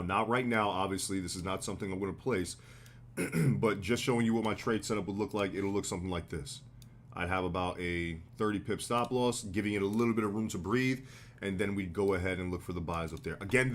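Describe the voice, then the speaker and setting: An American man with a slightly hoarse voice, hosting a live trading stream in a relaxed, slangy way. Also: deep voice, a little hiss or hum. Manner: relaxed, loose, slangy explaining with fillers. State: slightly hoarse, getting sick. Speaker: trading livestream host. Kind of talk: casual live market commentary.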